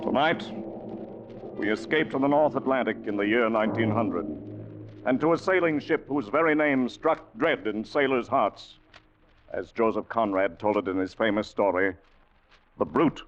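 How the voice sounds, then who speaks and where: A man announcing in a dramatic 1940s radio style, ominous and deliberate. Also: lowish voice. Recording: dull vintage radio, music behind.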